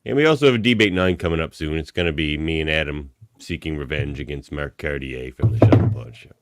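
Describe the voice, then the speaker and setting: A man's voice: rumbling low, quick pace, smooth. A man co-hosting a podcast, casually plugging upcoming episodes in conversation. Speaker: podcast co-host. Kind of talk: casual show promotion chat.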